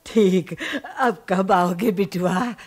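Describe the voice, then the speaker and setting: Slow old village woman, rustic Hindi dialect. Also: on the low side, darkish tone. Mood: amused, affectionate. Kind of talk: Hindi radio drama acting.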